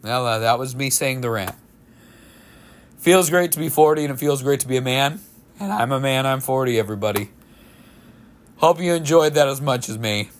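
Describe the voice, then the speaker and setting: A man's voice: medium pitch, moderate pace, pauses often, a little hiss or hum. Man in his forties, podcast host, casual chat, cheerful, self-deprecating. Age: 40s.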